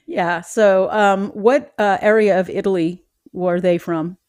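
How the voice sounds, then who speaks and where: A woman's voice: medium pitch, steady tone, takes it slowly, darkish tone, smooth, no echo, clean studio sound. A woman hosting a podcast, asking chatty, curious questions that ramble a little. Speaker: podcast host. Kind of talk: casual interview chat.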